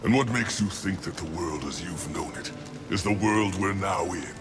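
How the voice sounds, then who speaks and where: A man's voice: sits low, moderate pace, lightly acted. A man voicing a weary, grandiose angel commander in a video game, speaking in a solemn, formal, theatrical way. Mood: solemn, bargaining. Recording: music behind.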